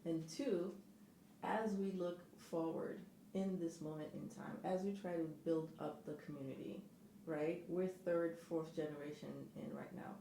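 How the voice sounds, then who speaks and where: A woman interviewer asking long, measured and thoughtful questions. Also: low voice, steady tone, gravelly, dark tone, a little hiss or hum. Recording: echoey room.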